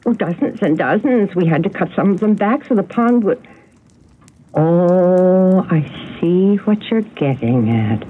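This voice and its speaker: A woman's voice: on the low side, animated, measured pace, breathy edge. An actress playing a sweet, nostalgic, easily flustered woman in a radio mystery, hesitant and given to exclaiming. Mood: nostalgic, delighted. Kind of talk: radio mystery drama acting. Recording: narrow-band, noisy radio drama audio.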